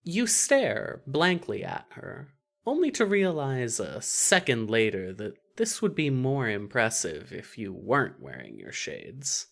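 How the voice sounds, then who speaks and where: A woman reading scripted second-person fan fiction narration in an expressive, wry way. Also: a bass voice, unhurried, vocal fry.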